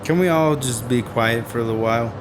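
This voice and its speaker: A man's voice: medium pitch, a little fast, background noise, natural voice. An actor playing a dejected, weary American man who is curt and withdrawn in a radio drama. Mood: irritable.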